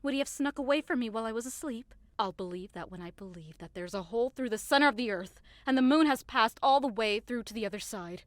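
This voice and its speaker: A woman's voice: fairly high pitch, animated, fast, few pauses, no echo, close to an everyday voice. A woman playing a passionate, scornful young lover in an audio drama, with emphatic, dramatic delivery. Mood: accusing, distraught. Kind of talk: theatrical audio drama acting.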